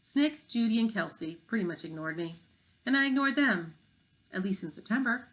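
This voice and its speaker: A woman's voice: mid-range voice, expressive, moderate pace, a bit bright, close to an everyday voice, low-quality recording. An aloof, gifted fifth-grade boy played by a woman reading aloud speaks formally and plainly. He is a storybook loner, matter-of-fact about keeping apart from other children. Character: brilliant, aloof fifth-grade boy who avoids company.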